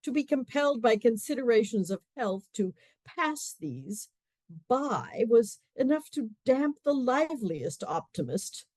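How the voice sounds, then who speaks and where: A woman narrating a radio play in a wry, expressive storytelling manner, dropping to hushed asides. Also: on the high side, measured pace, dry recording.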